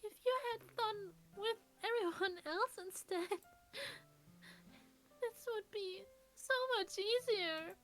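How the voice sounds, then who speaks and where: A woman's voice: extremely high pitch, slow pace, a bit bright, soft background music, exaggerated voice, rough recording. A woman voicing a sweet teenage girl with an unsteady voice, hiding sadness behind forced cheer in a visual novel. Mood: tearful, overwhelmed. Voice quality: unsteady, tremulous. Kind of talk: visual novel character dub.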